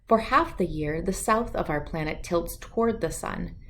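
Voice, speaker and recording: A woman reading a children's story aloud as host, with expressive, deliberate phrasing. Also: fairly low pitch, slight background noise. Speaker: children's book reader and host.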